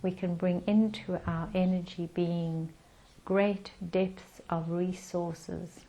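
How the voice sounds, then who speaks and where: A woman leading a guided meditation in a calm, soothing manner with gentle pauses. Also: lowish voice, low-quality recording.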